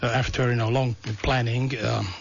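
An older Hungarian-born man with a Hungarian accent, a radio guest telling his life story in a halting way with many ums and uhs. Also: mid-range voice, little pitch movement, gravelly. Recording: lo-fi radio broadcast.

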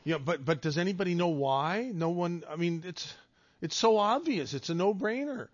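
A man's voice: high voice, animated, normal speed, no echo. Rambling talk radio host man. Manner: rambling, jokey, restarts phrases often. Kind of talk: casual call-in radio chat. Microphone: studio microphone. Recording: dull, dry radio studio sound.

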